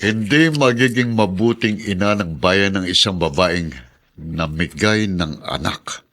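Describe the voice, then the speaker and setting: An actor playing an authoritative, suspicious town mayor who questions people in a demanding way, in a radio drama. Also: male voice, low voice, unhurried, fairly bright tone. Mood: shocked, indignant. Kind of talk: Tagalog radio drama acting.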